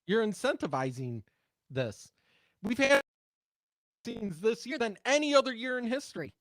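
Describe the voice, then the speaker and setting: A man's voice: very high voice, very animated, normal speed, fairly bright tone, dry recording. A man co-hosting a talk show, speaking casually and telling stories in a conversational way. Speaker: talk radio co-host, former TV news worker. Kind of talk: casual chat and storytelling.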